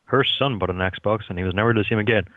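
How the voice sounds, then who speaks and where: A man's voice: fairly low pitch, fast talker, no echo, narrow, tinny audio, close to an everyday voice. A man playing the solemn, dramatic narrator of a spoof scare documentary. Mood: mock solemn.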